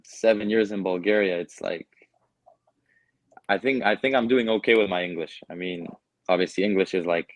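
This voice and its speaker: A man's voice: low voice, normal speed, no echo. A young man with a Canadian accent, answering interview questions casually and with many fillers. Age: young adult. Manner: relaxed, hesitant with many fillers. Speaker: guest soccer player.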